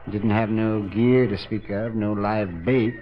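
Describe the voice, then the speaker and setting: An actor playing an old, folksy and suspicious boat-rental keeper in a radio drama, talking in terse, rustic, ungrammatical phrases. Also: male voice, lowish voice, fairly even intonation, measured pace, slight background noise, natural voice. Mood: guarded, laconic. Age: older. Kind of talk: 1950s radio drama acting. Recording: dull old radio recording.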